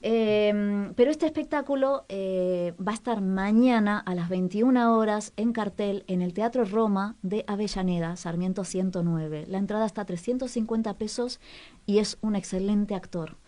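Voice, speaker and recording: A woman with a mid-pitched voice presents a radio cultural agenda. She talks casually, as if chatting with colleagues, feeling her way through sentences and repeating words for emphasis. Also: moderate pace, warm tone. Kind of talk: casual radio chat with recommendations.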